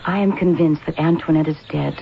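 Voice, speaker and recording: A frightened young woman in an old-time drama, anxious and insistent. Her voice has some creak, and the old recording is muffled with a faint hiss behind it. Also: sits low, fairly even intonation, brisk. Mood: distressed. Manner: anxious, pressing with questions. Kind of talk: old radio drama acting.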